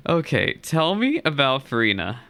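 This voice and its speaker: Bright-voiced young man, casual reaction host. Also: medium pitch, huge pitch swings, normal speed, no echo. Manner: informal, rambling. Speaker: gaming reaction video host.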